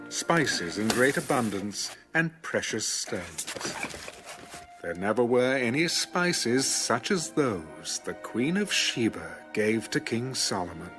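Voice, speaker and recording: A man's voice: medium pitch, very animated, slow pace. A man narrating scripture from a script in a dramatic audio Bible style. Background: background music.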